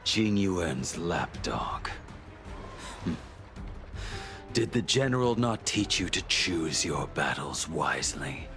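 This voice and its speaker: A man's voice: a bass voice, animated, slow, pauses often, exaggerated voice. A man playing a tormented, vengeful swordsman fugitive in a dramatic video game, brooding and threatening. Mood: menacing, taunting. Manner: brooding, threatening, breathy murmurs breaking into manic outbursts. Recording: loud music under the voice.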